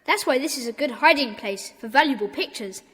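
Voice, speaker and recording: A woman's voice: sits high, lively intonation, fairly quick, fairly bright tone, husky, exaggerated voice. A woman playing a bold, bossy, eager schoolboy detective in a children's audio drama. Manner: eager, urgent, exclamatory. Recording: long echo of a stone dungeon.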